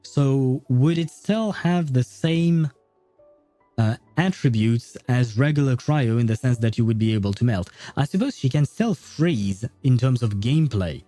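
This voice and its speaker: Mellow-voiced man chatting on a game stream. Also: mid-range voice, expressive, measured pace, no room sound, soft background music. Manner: musing, rambling, thinking aloud. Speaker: game livestreamer. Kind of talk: casual livestream chat, answering viewers.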